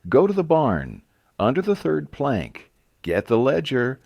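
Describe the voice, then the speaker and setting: A man playing a quiet, protective husband in a Western audio story, guarded and controlled in his delivery. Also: unhurried, creaky, dry recording, studio quality, exaggerated voice. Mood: urgent instruction. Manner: low, calm, guarded.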